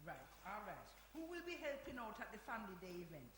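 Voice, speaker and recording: A woman playing a brisk, bossy committee chair in a live stage play. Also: mid-range voice, big pitch swings, fairly bright tone. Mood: businesslike. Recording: noisy echoey hall, live performance.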